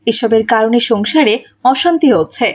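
A woman's voice: fairly high pitch, expressive, moderate pace. A woman reading scripted narration for a cartoon story as its narrator.

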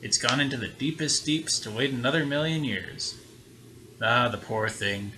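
A man's voice: mid-range voice, normal speed, pushed and bright, a little hiss or hum, natural voice, rough recording. A man voicing a grave, wistful lighthouse keeper in a dramatic audio story, ruminative in manner.

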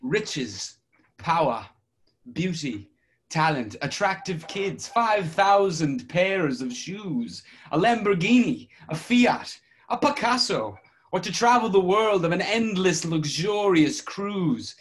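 Man playing a high-voiced, declaiming verse storyteller. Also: big pitch swings, slow pace, heavily acted. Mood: storytelling. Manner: declamatory rhymed delivery. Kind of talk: readers' theatre verse narration. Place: indoors at home on a video call.

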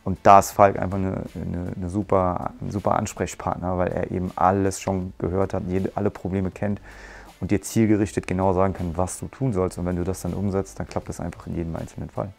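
A man in his forties talks earnestly in a testimonial, his voice very low, flat and gravelly. He explains at length, restarting phrases as he goes. Faint music sits underneath. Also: a little fast. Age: 40s. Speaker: martial arts school owner. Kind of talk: testimonial interview. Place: bright room with curtains, standing. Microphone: lapel mic.

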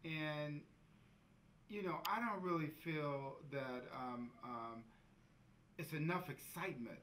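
A man in a documentary interview, speaking slowly with many fillers. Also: fairly high pitch, fairly bright tone, a little hiss or hum.

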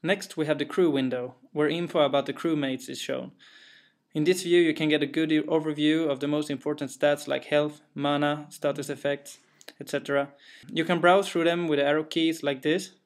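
A young developer from Sweden, his English carrying a clear Swedish accent, talks through his own game with an untheatrical, matter-of-fact delivery. His voice sits a touch higher than most men's, and he leans on small fillers and careful phrasing as he explains. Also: fairly even intonation, normal speed. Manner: careful, plain, a little hesitant with fillers. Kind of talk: narrated gameplay walkthrough.

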